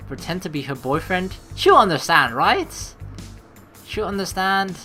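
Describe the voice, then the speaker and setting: Let's play host: young woman, expressive slangy reactions, lowish voice with lively intonation, reading game text casually. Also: unhurried, faint music behind.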